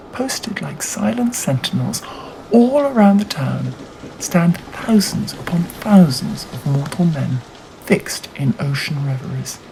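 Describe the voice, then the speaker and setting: A narrator reading a classic novel aloud in a measured, deliberate way with dramatic phrasing. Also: mid-range voice, mellow, rough recording. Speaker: audiobook reader. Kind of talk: scripted literary narration. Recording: audible background noise.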